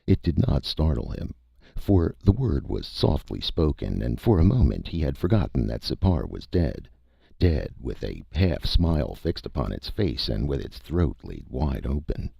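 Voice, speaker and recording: A man's voice: rumbling low, big pitch swings, normal speed, creaky, dark tone, slightly rough, dry recording, studio quality. A man narrating a scripted story as a dramatic audiobook reader.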